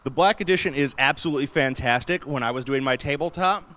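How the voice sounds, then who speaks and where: A man with a booming voice giving an enthusiastic, chatty panel talk in a large convention room. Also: fast talker, bright, forceful tone. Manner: enthusiastic, chatty, laughs often. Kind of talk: casual panel talk on streaming gear.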